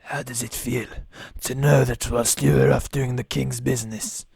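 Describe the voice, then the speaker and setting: An actor playing a gleeful, mocking villain, a blacksmith in an audio drama, with a gravelly, husky voice. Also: male voice, mid-range voice, fairly even intonation, moderate pace, a little mellow, no room sound, full character voice. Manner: mocking, goading. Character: gleeful taunting villainous blacksmith, the wife's lover.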